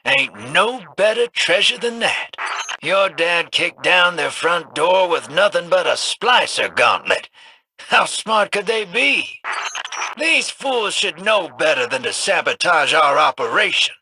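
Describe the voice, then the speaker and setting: Raspy sly scavenger rogue, high lively voice, bright and edgy, slow drawl. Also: male voice, heavily acted. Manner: drawling, folksy slang. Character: grizzled drawling rogue scavenger, middle-aged man, sly. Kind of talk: video game voice lines.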